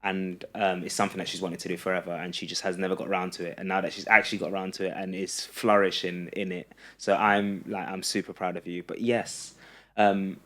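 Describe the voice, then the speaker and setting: A young man from Egypt, a podcast guest, talking earnestly in a halting way with many fillers and restarts. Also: low voice, little pitch movement, bright, forceful tone. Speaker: podcast guest, running content creator. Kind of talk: casual interview chat.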